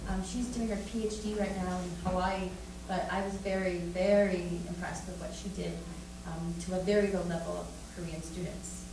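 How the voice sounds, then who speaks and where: A woman's voice: on the low side, fairly even intonation, moderate pace, few pauses. Woman presenter in an echoey hall. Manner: casual, chatty, encouraging. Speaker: conference presenter. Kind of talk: answering audience questions. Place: lecture hall. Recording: echoey hall, distant mic, background noise.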